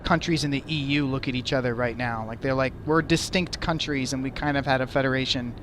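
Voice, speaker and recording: A man's voice: medium pitch, fairly even intonation, fairly quick, noisy background. A young American man hosting a casual podcast chat, curious and thinking aloud with lots of "like". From United States. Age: young adult. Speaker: host.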